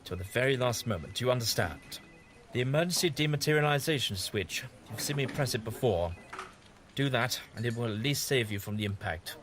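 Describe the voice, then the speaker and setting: A man's voice: medium pitch, animated, fairly quick, a bit bright, a little hiss or hum, close to an everyday voice. A man playing a whimsical, kindly, evasive time-travelling adventurer in an audio drama, with fussy and hesitant politeness. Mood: gentle, hesitant.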